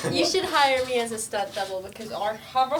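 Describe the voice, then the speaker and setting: A woman's voice: expressive, moderate pace. Giggly young woman, far from a noisy mic. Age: young adult. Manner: giggly, laughs through her pitch. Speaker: podcast guest. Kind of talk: casual improvised game chat. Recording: distant mic with loud background noise.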